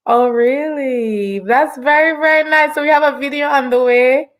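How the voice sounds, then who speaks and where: A woman's voice: high voice, moderate pace. A woman hosting a casual online interview, affirming and encouraging as she relays questions from viewers. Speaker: online show host, interviewer.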